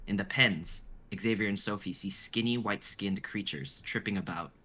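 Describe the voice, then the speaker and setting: Bright-voiced young man, lowish pitch. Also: moderate pace. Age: 20s. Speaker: writer and narrator of a script reading. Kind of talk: casual chat and stage-direction narration.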